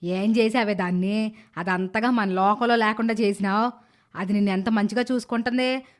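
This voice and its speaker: A woman voicing a blunt, sharp-tongued, bossy village woman in an animated moral story. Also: medium pitch, moderate pace, voice pushed down, close to an everyday voice. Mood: scolding. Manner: sharp-tongued rustic scolding.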